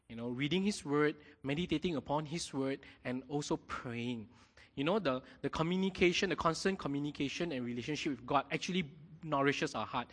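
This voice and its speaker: A man preaching a sermon in a lively way, often checking in with his congregation. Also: on the high side. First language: not Mandarin. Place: church hall. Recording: long hall reverb.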